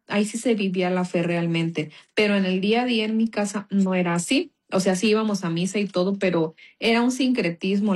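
Mexican woman telling a faith testimony. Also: medium pitch. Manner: casual storytelling, many fillers. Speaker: guest giving a testimony.

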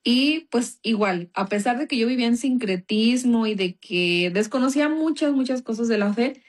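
A woman's voice: fairly high pitch, expressive, normal speed. A woman from Mexico with a Mexican accent gives an informal personal testimony in a conversational, earnest, explanatory manner. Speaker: speaker giving a religious testimony.

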